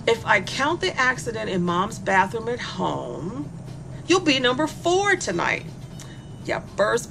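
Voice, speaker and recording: Taunting sadistic female killer, swooping and creaky. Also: mid-range voice, noisy background. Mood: gleeful, cruel. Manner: mocking, flirtatious, toying with the captive. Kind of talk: dark comic horror audio drama monologue. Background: music.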